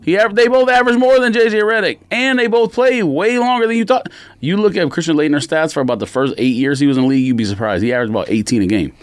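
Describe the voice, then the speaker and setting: A man co-hosting a sports and sneaker podcast, casually debating in blunt, slangy talk and quick to contradict. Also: on the high side, no room sound.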